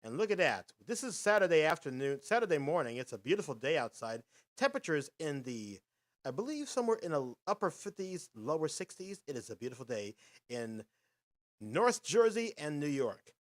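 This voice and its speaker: Man, host, casual vlog narration, upbeat rambling announcer patter, high voice, very lively pitch. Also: moderate pace.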